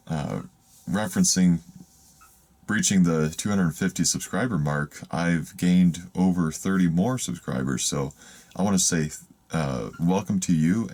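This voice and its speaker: A raspy-voiced man from the United States talks casually to camera in a rambling, hesitant way, with many restarts. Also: very deep voice, darkish tone. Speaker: van life vlogger. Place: in a van.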